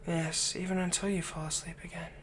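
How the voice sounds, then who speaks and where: A man playing a possessive young fantasy villain in an ASMR roleplay, tenderly soothing a captive in a soft voice that often turns breathy. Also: a little high, level tone, brisk, slight background noise, natural voice. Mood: gentle, reassuring. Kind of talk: ASMR roleplay, intimate scripted monologue.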